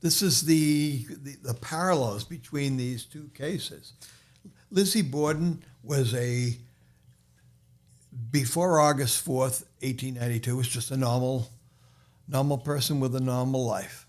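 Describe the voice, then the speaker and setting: Brief: man, Boston accent, unhurried and halting, podcast guest storytelling. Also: mid-range voice. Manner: slow, halting, many restarts and fillers. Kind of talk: casual podcast conversation.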